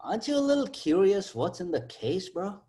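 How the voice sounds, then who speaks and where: A man's voice: high voice, very animated, fairly quick, warm tone. A man playing a cheeky, laid-back sidekick in a comic table read, slangy and full of wisecracks. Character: cheeky, laid-back male sidekick teasing his buddy.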